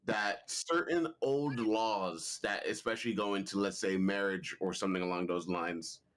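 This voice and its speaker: A man's voice: fairly low pitch, moderate pace, a bit bright. A man in casual voice chat with friends, rambling and restarting as he talks.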